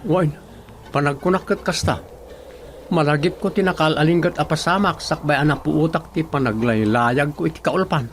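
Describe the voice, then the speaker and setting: A man playing a village councilman in an Ilocano radio comedy drama, with a creaky voice and Ilocano accent. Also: on the high side, normal speed, slight background noise, close to an everyday voice. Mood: conversational.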